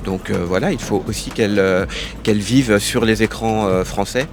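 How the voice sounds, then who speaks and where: A man's voice: on the low side, normal speed, faint music behind. A man from France at a festival, answering a street interview earnestly, hesitating often. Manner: earnest, hesitant with many fillers. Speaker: festival-goer. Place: outdoors in a city.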